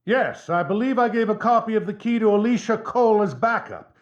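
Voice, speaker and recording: Stuffy, flustered small-town judge for a video game, middle-aged, formal and precise, lightish pitch with big swings. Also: male voice, animated, brisk, dry recording, muffled recording. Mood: anxious, pleading.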